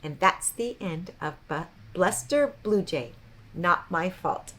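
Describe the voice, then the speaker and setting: A woman storyteller reading a picture book aloud to children in an expressive, child-directed way. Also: on the low side, takes it slowly.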